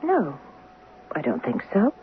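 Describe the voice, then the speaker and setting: A troubled, trusting young wife in her late twenties, confiding in a radio drama. Her pitch sits in the middle of the female range. The old broadcast is thin and narrow, with a light hiss behind her. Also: wildly swooping pitch, takes it slowly, heavily acted. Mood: subdued, hesitant. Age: late 20s. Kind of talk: 1970s radio drama acting, dialogue and first-person narration. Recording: muffled narrow-band vintage radio, faint hiss.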